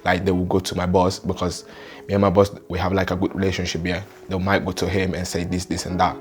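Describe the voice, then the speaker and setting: Low, very flat-voiced young man talking. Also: fast, faint music behind. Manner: conversational, meandering with fillers. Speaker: interviewee. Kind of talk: casual personal account.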